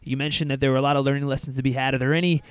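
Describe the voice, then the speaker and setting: A man hosting a podcast, upbeat and energetic, leading an interview. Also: mid-range voice, rapid-fire, clean tone, dry recording. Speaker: podcast host.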